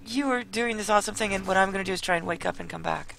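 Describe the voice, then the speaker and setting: Hoarse, croaky streamer from Chicago. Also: very fast, a bit bright, slight background noise, poor audio. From Chicago, United States. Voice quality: croaky, gravelly. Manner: rambling with many fillers, then low and sleepy. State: hoarse, losing voice. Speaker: live streamer. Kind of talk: casual late-night stream chat.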